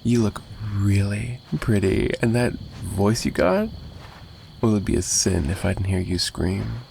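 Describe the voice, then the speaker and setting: A man playing a possessive, menacing and flirtatious mafia boss in an ASMR roleplay monologue, taunting and sarcastic with drawling pauses. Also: fairly low pitch, lively intonation, gravelly, a little mellow, slight background noise, full character voice.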